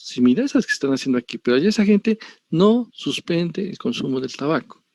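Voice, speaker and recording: A man's voice: on the high side, animated, fairly quick, a little mellow, no echo. A middle-aged man with a Colombian accent giving an online pathology lecture, digressive and often checking with tag questions. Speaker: university lecturer.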